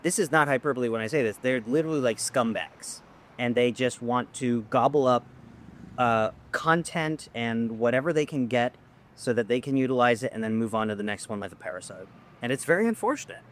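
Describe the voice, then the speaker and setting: A man's voice: mid-range voice, lively intonation, a little fast, a little hiss or hum. A middle-aged man hosting a casual conversation in a restaurant, speaking bluntly and with emphasis. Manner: emphatic, blunt, frequent backchannels. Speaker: host.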